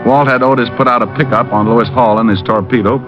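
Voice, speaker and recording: A man's voice: mid-range voice, fast, music in the background, natural voice. A man playing a dry-witted hardboiled detective. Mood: wry. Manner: dry, wry, deadpan wisecracks. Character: wisecracking hardboiled male private detective. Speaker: narrator and lead. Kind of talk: 1950s radio drama acting. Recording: dull lo-fi old radio recording.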